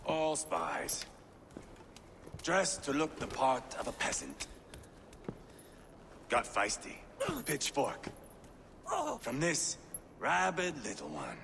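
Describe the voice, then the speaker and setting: An actor playing a sadistic, swaggering Viking warrior in a video game, menacing and taunting, with a gravelly voice. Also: male voice, medium pitch, wildly swooping pitch, slow pace, long pauses, fairly bright tone, full character voice. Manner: menacing, taunting, savoring words. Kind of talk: video game cutscene acting. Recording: game scene with clear background noise.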